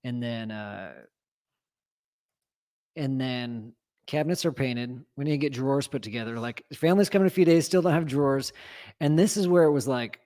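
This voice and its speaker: A man telling a story in loose, casual chat. He rambles, piles up fillers and keeps restarting his sentences. His voice sits at an ordinary middle pitch for a man. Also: normal speed, dark tone. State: recovering from lost voice. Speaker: co-host telling a home renovation story.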